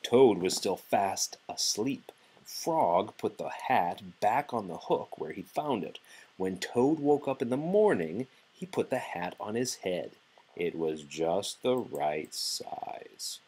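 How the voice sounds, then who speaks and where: A man reading a children's storybook aloud as a father would, in an animated, playful and deliberate way. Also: lowish voice, husky, poor audio.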